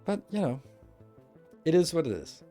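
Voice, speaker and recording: A man's voice: fairly high pitch, very animated, moderate pace, dark tone, faint music behind. A middle-aged man from Denmark with a Danish accent, chatting casually and self-deprecatingly with viewers during a game stream, rambling with restarts. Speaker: gaming livestream host. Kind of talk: casual chat with viewers while gaming.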